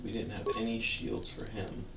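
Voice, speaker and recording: A man with a hoarse, worn-out voice giving rambling, easygoing commentary as a game streamer. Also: on the low side, level tone, moderate pace, background noise. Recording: roomy, distant mic under loud music. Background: loud music.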